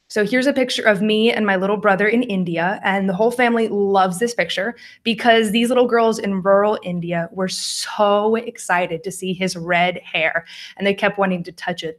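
Young american woman doing a slide talk, chatty, lots of ums, laughs a bit. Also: medium pitch. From United States. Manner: friendly, laughs often, fillers like um. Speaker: conference speaker. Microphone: voice over slides.

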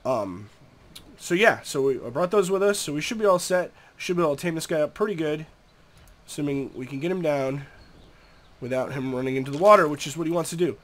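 A man's voice: a little high, fairly quick. Congested, nasal young man narrating a game. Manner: chatty, easygoing, talks to the creatures. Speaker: gameplay commentator. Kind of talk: casual gameplay commentary.

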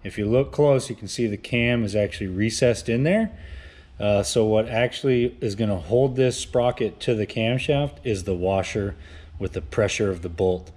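A man's voice: on the low side, normal speed, a little mellow. Plain-spoken man in an echoey garage. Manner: plain-spoken, frequent uhs and restarts. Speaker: engine builder explaining cam timing. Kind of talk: casual workshop tutorial. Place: home garage with cars and a car lift.

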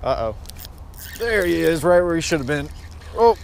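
A man's voice: on the high side, big pitch swings, moderate pace, gravelly. Young guy vlogging outdoors, noisy background. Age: young adult. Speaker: fishing video host. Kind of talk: casual instructional fishing vlog. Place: outdoors on a pond bank. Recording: outdoor wind and ambient noise.

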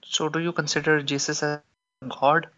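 A man's voice: a little high, little pitch movement, moderate pace, bright, forceful tone. A man calling in, polite and hesitant, asking questions with many fillers. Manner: polite, hesitant, says sir often. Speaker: caller. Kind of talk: call-in question. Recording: dull lo-fi call line.

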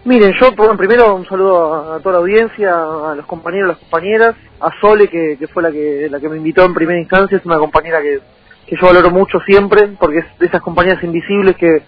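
A man's voice: high voice, fast talker, narrow, tinny audio. A man in his thirties with a Rioplatense Argentine accent, answering conversationally as a political candidate in a radio interview, often repeating words as he goes.

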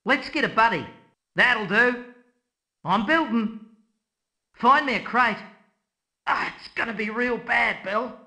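A man's voice: extremely high pitch, pushed and bright, heavily acted. A man voicing a brash, mocking soldier with an Australian or New Zealand accent in a video game. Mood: brash, eager. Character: cocky, taunting Antipodean soldier, brash and mocking. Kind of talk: video game character taunts and barks.

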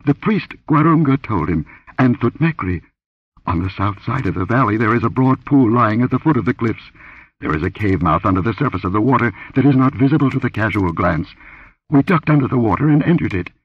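A man's voice: fairly low pitch, lively intonation, fast, husky, dry recording, pitched above the performer's own voice. A man voicing a terrified young dancing girl who pleads tearfully and breathlessly in a pulp adventure story. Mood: anxious, explaining. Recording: dull lo-fi audio.

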